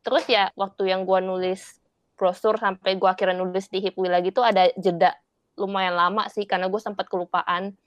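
A young Indonesian woman with talks in a loose, candid way. She laughs often and slips English words into her sentences. Her voice is mid-pitched and sits dry and close on the mic. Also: dry recording, lo-fi sound. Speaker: guest. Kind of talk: casual podcast chat.

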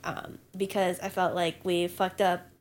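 A woman's voice: fairly low pitch, level tone, a little slow, a little hiss or hum. A young woman co-hosting a casual podcast chat, sniffly from allergies, blunt and swearing freely. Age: young adult. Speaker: podcast co-host.

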